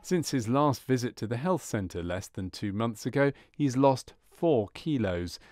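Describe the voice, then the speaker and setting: A man reading scripted narration for a medical training film in a measured, formal manner. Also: mid-range voice, expressive, a little mellow, dry recording.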